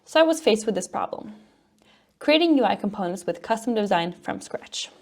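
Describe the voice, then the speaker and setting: A woman's voice: medium pitch, animated, moderate pace, darkish tone. A woman from Norway, presenting a technical conference talk in an upbeat, informal explaining way. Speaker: conference speaker, front-end developer and educator.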